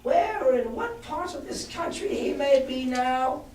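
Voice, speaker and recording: A man voicing a sinister old blind beggar who whines in a high sing-song, with a cold cruelty underneath, heard from a distance in an echoey room. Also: lively intonation, a little slow, darkish tone, slight background noise. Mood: whining.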